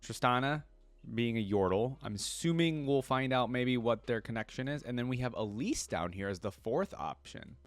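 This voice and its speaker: A man hosts a casual reaction video in a dry, close-sounding recording. His pitch is mid-range, and he moves between reading lore aloud and musing on it in his own words. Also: expressive, normal speed, dry recording. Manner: musing asides between read-aloud passages.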